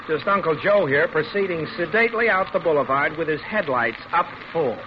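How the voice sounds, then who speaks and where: Guy playing boyish teasing young cop, high lively voice, breezy, old noisy radio drama. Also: fairly quick, muffled recording, lightly acted. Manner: jaunty, playful, breezy. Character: cheerful, teasing young motorcycle policeman, boyish nephew type.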